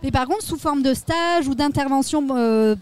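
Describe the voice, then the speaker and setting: A woman's voice: high voice, normal speed, darkish tone. A woman representing her association in a radio interview at a fair, speaking hesitantly with many fillers.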